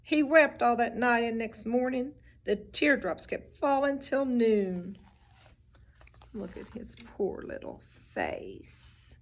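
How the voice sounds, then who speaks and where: A teacher reading a picture book aloud, with expressive storybook delivery and little asides about the pictures. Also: female voice, on the high side, slow pace.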